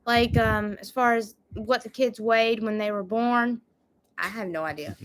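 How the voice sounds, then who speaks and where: High-voiced woman chatting casually. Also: unhurried. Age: 30s. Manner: chatty and easygoing, reads out viewer questions. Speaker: co-host of a family homestead channel. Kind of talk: casual live Q&A chat.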